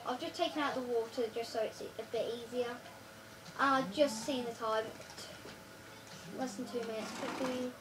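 A high-voiced girl playing a building game with a friend, directing and giving short instructions in a casual way. She sounds distant, as if picked up by a phone across a room. Steady background noise runs under her voice. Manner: directing, gives quick instructions. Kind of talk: casual gameplay chat. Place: living room, in front of a TV. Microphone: phone camera filming the TV screen.

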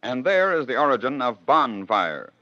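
A man's voice: mid-range voice, very animated, normal speed, bright, forceful tone, soft background music. A man hosting and narrating in scripted 1940s radio style, with a rhetorical storytelling manner. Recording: dull old radio broadcast.

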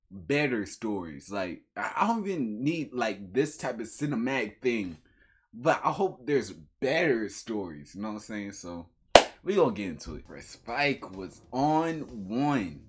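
A young man giving casual reaction commentary in an animated, slangy way, repeating phrases. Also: medium pitch, a little slow, background noise. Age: young adult. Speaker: reaction video host. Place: small bedroom, indoors.

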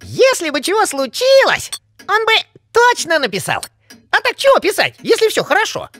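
A woman's voice: extremely high pitch, wildly swooping pitch, normal speed, a bit bright, no echo, studio quality, heavily acted. A woman voicing a carefree, cheeky young male rabbit in a cartoon, breezy, colloquial and curious. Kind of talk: animated cartoon dialogue.

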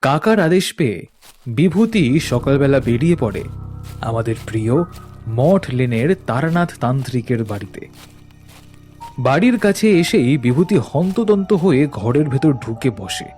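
A man narrating an animated ghost story in a dramatic storytelling style. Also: medium pitch, measured pace, faint music behind.

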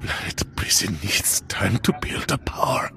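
An actor playing a mortally wounded, remorseful technician in a video game, weak, pained and short of breath. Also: male voice, unhurried, sharp tone, in a whisper. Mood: fading, urgent. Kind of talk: video game cutscene acting.

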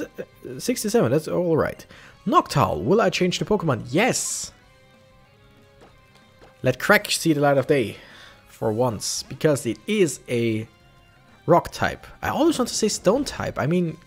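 A young man chats through his playthrough, animated and self-correcting. His voice sits a little high and swings widely in pitch. Faint game music plays behind him. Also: husky. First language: German. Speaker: let's play streamer. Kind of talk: casual gameplay commentary.

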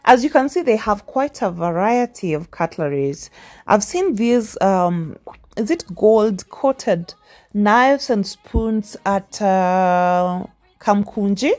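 A woman's voice: mid-range voice, expressive, takes it slowly, a little mellow. Chatty woman asking viewers questions. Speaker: vlogger. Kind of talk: casual voice-over for a shopping tour.

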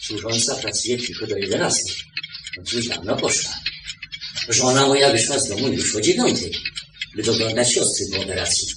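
An actor playing a suspicious, irritable shopkeeper in a radio play, stammering and repeating words insistently. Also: male voice, on the low side, lively intonation, normal speed, roomy sound, natural voice. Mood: deliberate, pondering. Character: suspicious, irritable male shopkeeper interrogating his assistant. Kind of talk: 1970s Polish radio play acting. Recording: noisy old 1970s radio recording.

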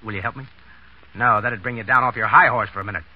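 A man's voice: medium pitch, steady tone, quick pace, husky, lightly acted. An actor playing a blunt, bossy, cocky man with slangy scolding talk in 1930s radio drama style. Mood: scornful. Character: brusque, cocky, bossy young wisecracking man. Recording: muffled 1930s radio broadcast.

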